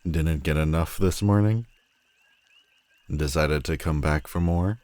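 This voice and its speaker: Man acting a very deep-voiced sardonic supervillain. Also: darkish tone, no echo. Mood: mocking. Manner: mocking, slow deliberate delivery with dramatic pauses. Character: sardonic, menacing male telepathic supervillain, world-weary and theatrical. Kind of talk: scripted audio roleplay addressed to the listener.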